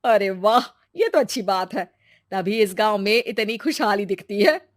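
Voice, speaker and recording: A woman's voice: medium pitch, lively intonation, unhurried, natural voice. A woman voicing a curious, chatty young village woman in a moral-story cartoon, with colloquial exclamations.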